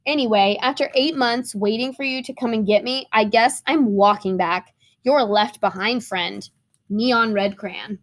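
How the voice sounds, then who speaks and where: A woman's voice: a little high, unhurried. A woman voicing a chatty forgotten crayon in a storybook, perky and breezily resentful. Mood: perky, reproachful.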